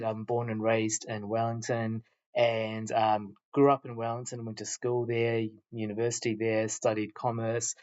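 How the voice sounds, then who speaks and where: A young New man with a fairly low voice, talking casually to camera. He rambles with plenty of fillers and restarts. The recording sounds dull and lo-fi. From New Zealand. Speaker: YouTuber.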